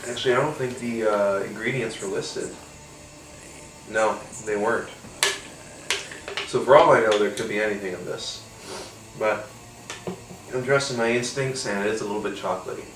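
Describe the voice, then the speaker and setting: A man's voice: on the low side, normal speed, long pauses, a bit bright, reverberant, far from the mic, faint music behind, rough recording. Congested, nasal man chatting casually. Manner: rambling, swears casually, many fillers. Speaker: podcast host. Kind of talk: casual solo beer-tasting chat.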